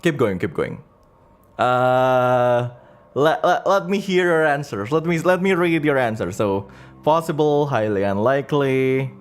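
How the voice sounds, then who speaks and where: Casting: male game master for a streamed fantasy campaign, unhurried pace, halting improvised narration with repeated phrases. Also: medium pitch, animated. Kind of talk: improvised storytelling of a tabletop campaign, chatting with viewers.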